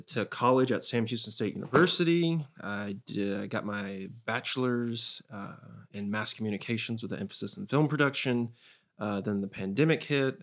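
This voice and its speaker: A man's voice: fairly low pitch, fairly bright tone. A young man from Texas chatting casually as a podcast guest, with a dry, self-deprecating wit. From Texas, small town. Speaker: comedy writer, podcast guest. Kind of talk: casual chat.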